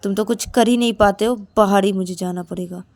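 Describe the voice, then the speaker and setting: A woman's voice: mid-range voice, fairly even intonation, fairly quick, darkish tone, natural voice. A woman voicing a cruel, impatient husband who scolds and snaps scornful questions in an audio drama. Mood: cold, impatient. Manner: scolding, snapping rhetorical questions.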